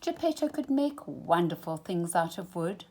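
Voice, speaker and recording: Woman, children's storyteller, slightly low pitch, very lively intonation, deliberate dramatic delivery. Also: a little hiss or hum. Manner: animated, deliberate, with dramatic gasps and hushed phrases. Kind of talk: expressive children's storybook reading.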